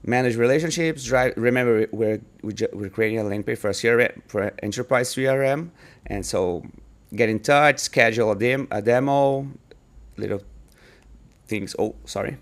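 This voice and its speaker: A man with a Brazilian Portuguese accent, a startup founder casually walking through a screen-share demo of his product, often restarting and filling in with "like" and "uh". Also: medium pitch. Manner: halting, many restarts and fillers.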